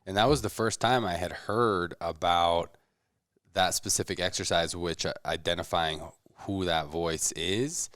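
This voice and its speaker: Deep, smooth-voiced man in casual conversation. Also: unhurried, fairly bright tone, no room sound, clean studio sound. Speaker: podcast co-host. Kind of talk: casual reflective podcast conversation.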